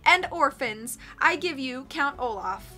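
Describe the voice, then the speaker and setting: A woman's voice: sits high, lively intonation, unhurried, sharp tone, soft background music, exaggerated voice. A woman voicing a smug, brash female police chief who announces things proudly and grandly, in a storybook reading. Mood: grandly triumphant.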